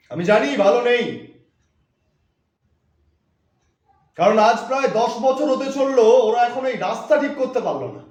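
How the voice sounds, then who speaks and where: Casting: fiery crusading politician played by a man, extremely high-pitched male voice, declamatory stage monologue, heavy echo. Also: darkish tone. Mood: grave, accusing. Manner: declamatory, oratorical, emphatic phrase-by-phrase delivery. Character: fiery opposition politician rallying wronged voters, righteous and indignant. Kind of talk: theatrical political speech monologue. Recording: very echoey, reverberant room sound.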